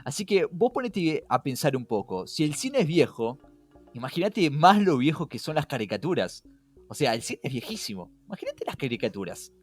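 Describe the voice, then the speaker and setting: Male podcast host, Rioplatense Spanish accent, animated intonation, engaging and questioning, chatty explainer over background music. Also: fairly high pitch, moderate pace. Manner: engaging, asks rhetorical questions. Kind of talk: casual conversational podcast explainer. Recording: music behind.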